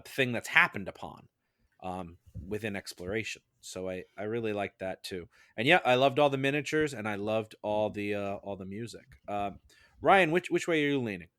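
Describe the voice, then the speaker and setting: A man discussing a film casually as a podcast juror, in a measured, halting way, thinking aloud. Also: lowish voice, animated, fairly bright tone, no echo, studio quality. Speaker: podcast juror, jury foreman.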